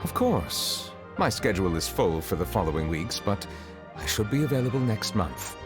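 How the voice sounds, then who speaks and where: An actor playing a stately, aloof judge who speaks in a measured, formal way, with game music behind. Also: male voice, lowish voice, sing-song. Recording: game audio with background music.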